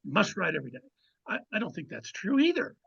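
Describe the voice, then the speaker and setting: A man's voice: high-pitched, wildly swooping pitch, fast talker, creaky, a bit bright, breathy edge, dull audio. A man speaking casually as an author guest in an interview, giving emphatic advice and repeating words as he goes.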